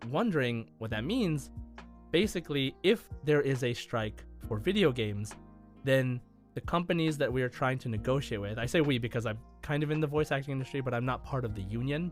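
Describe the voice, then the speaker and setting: A man hosting a podcast in a casual, conversational style, explaining things as he goes. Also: medium pitch. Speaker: podcast host.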